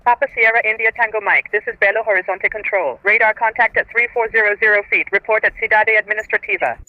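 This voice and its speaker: High-voiced approach controller on radio. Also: male voice, fairly even intonation, no room sound. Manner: brisk, clipped instructions. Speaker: air traffic controller. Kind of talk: radio phraseology. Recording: muffled radio transmission.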